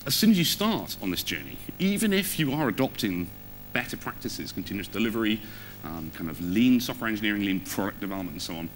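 Low-voiced man presenting, lively pitch swings. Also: rough recording. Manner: informal, conversational, with rhetorical questions and check-ins to the audience. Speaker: conference speaker. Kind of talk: technical conference presentation. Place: on stage in a theatre or auditorium.

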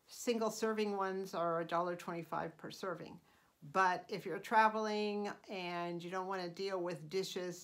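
A middle-aged woman hosting her own channel, talking through casual tips in an unhurried, chatty way with frequent ums and uhs. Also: lowish voice, fairly bright tone. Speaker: channel host. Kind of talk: casual vlog voice-over.

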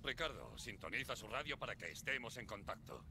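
An actor playing a gruff middle-aged male marshal in a game, curt and commanding. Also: medium pitch, expressive, a little fast, noisy background, natural voice. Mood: stern. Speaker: game character in Spanish dub.

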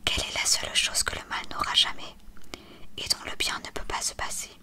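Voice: brisk, sharp tone. A storyteller whispering the lines of a weary, cryptic old headmaster in a storybook reading.

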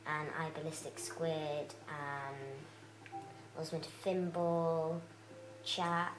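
A young girl, chatting casually with lots of fillers as she plays a video game, her voice croaky. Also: deep voice, little pitch movement, very slow, echoey room, a little hiss or hum, low-quality recording. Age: child. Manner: chatty, rambling, many fillers. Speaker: let's play host.

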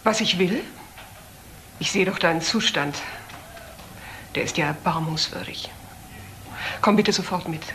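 A woman's voice: on the low side, measured pace, pauses often, a bit bright. Stern woman doctor, noisy recording. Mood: reproachful, urgent. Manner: precise, commanding, grave. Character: stern, well-to-do woman doctor, reproachful aunt. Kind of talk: dramatic radio crime play acting. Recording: noticeable background noise, old broadcast audio.

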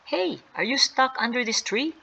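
A storyteller doing an eager, high-pitched young boy. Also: animated, normal speed, creaky, pushed and bright, exaggerated voice. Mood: excited, urgent.

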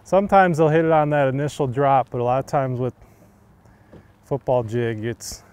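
A man's voice: medium pitch, normal speed. An easygoing man in his thirties explains how to fish from an open boat on a lake. His voice sits mid-range and he leans on casual fillers. A lapel mic picks him up, with a faint motor and wind noise underneath. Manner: relaxed, easygoing, many fillers. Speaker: pro bass angler. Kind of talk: on-the-water fishing instruction.

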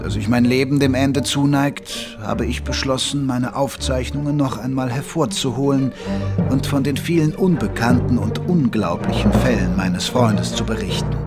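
A man's voice: medium pitch, moderate pace, loud music behind the voice, lightly acted. A man playing a grave, literary Victorian chronicler, narrating an audio drama in a solemn storytelling manner. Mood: solemn, reflective.

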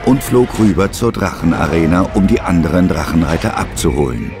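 Deep-voiced male story narrator. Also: a little fast, over music.

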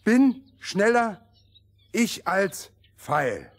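An actor playing an eager, simple fairy-tale runner who talks in clipped, broken sentences with a husky voice. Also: male voice, sits high, sing-song, drawn-out words, exaggerated voice. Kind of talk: children's fairy-tale audio play.

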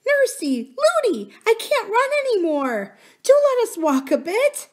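A woman voicing a little princess, curious and excitable, who chatters eagerly and breathlessly in a storybook reading. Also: very high voice, measured pace, pitched above the performer's own voice, full character voice. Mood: pleading.

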